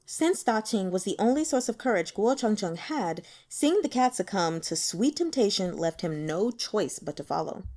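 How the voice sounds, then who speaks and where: Expressive woman reading fiction aloud. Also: mid-range voice, normal speed, hardly any pauses. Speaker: audiobook reader. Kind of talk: expressive scripted fiction narration.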